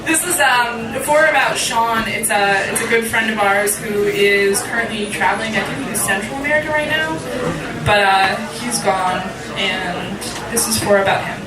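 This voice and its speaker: A woman poet giving a live reading, deliberate in her phrasing and frank and informal in her delivery. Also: medium pitch. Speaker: poet, featured reader. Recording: echoey, distant mic, loud crowd noise.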